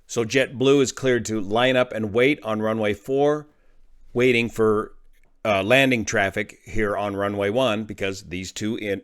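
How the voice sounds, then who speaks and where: A man's voice: on the low side, fairly even intonation, unhurried. A man hosting an aviation show, explaining incidents step by step in an emphatic, explanatory way. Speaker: aviation commentator and host.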